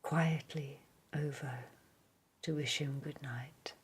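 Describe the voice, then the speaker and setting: A woman reading poems aloud in a hushed, soothing whisper, as in ASMR. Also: low voice, steady tone, takes it slowly, slightly rough. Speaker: reader.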